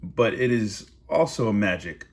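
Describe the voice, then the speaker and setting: A man's voice: on the low side, measured pace. A man voicing a sly swindler weaver in a storybook, with boastful sales patter. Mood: persuasive.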